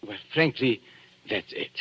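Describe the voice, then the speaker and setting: An actor playing a suave, sadistic blackmailer in 1940s radio style, mocking and theatrically polite, speaking with deliberate care. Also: male voice, medium pitch, expressive, husky, no echo. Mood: mocking, amused. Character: suave, sadistic male blackmailer posing as antique dealer. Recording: dull, lo-fi 1940s radio recording.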